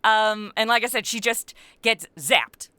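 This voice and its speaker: A middle-aged American woman speaks as a podcast guest, casual and animated. Her voice is bright and clear, recorded close and dry, and she talks informally with plenty of conversational filler. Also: a little high, measured pace, dry recording. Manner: animated, informal, peppered with like and you know. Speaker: interview guest, comedy podcast writer and voice actor. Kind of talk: casual interview chat about own creative work.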